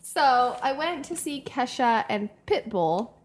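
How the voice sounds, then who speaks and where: A woman's voice: sits high, animated, slow. A young woman co-hosting a podcast, telling personal stories in a slangy, self-deprecating way and acting out quoted remarks. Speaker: podcast co-host. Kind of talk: casual chat, telling personal anecdotes.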